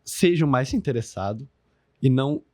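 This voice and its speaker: A man's voice: medium pitch, lively intonation, moderate pace, dark tone, no echo, clean studio sound. A man in his twenties with a Brazilian Portuguese accent, a podcast guest talking in a casual, slangy way, swearing freely and leaning on fillers. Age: 20s. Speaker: podcast guest, business founder.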